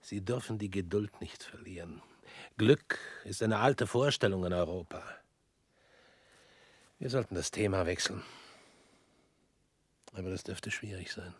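An actor in a radio drama playing a stern male revolutionary who speaks in measured, pointed lines and often drops to a hushed whisper. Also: low voice, husky, no room sound, exaggerated voice. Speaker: revolutionary visitor.

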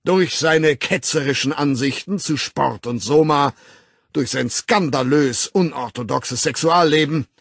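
A haughty male boss declaiming in a raised, slow, booming voice, as a narrator puts him on. The gravelly, husky tone stays close and dry. Every phrase sounds like a public condemnation. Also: dry recording, exaggerated voice. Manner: pompous, declamatory, slow and loud. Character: pompous authoritarian male director denouncing a subordinate. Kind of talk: audiobook character voice.

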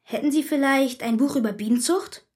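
A polite schoolgirl in a radio play, acted by a woman. Her voice is high and she speaks eagerly, with a slight, courteous hesitation. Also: brisk, darkish tone, close to an everyday voice. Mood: polite, hesitant. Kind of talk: German radio drama dialogue.

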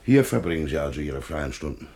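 A deep-voiced police chief inspector in a German radio drama. He is officious and dryly sardonic, phrasing his questions with condescending insinuation. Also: very animated, fairly quick, a little mellow, a little hiss or hum, full character voice. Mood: stern, condescending. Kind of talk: German radio play acting.

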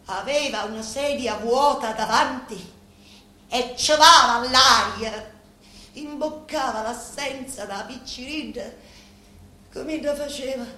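An actress playing a grave Sicilian woman confiding in a mafia boss, in a slow, deliberate theatrical monologue with a Sicilian accent. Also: sits high, pushed and bright, full character voice. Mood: grim, anguished. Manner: slow, deliberate, brooding storytelling. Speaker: stage actress in a radio drama. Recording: roomy echo, noisy background.

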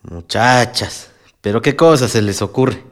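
An actor with a Mexican accent playing an evasive, condescending middle-aged small-town mayor in a radio drama. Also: male voice, mid-range voice, a little slow, lightly acted. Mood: dismissive. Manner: officious, dismissive, guarded.

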